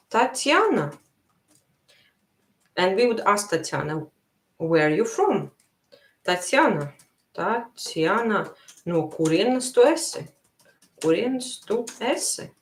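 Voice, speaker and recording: A woman with a Latvian accent teaching a live language lesson, slowly repeating phrases for her learners. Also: fairly low pitch, reverberant.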